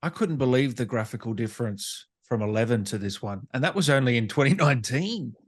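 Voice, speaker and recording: A man's voice: mid-range voice, animated, brisk, husky, no room sound, clean studio sound. A man hosting a casual interview, speaking in a conversational way. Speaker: interviewer, gaming show host.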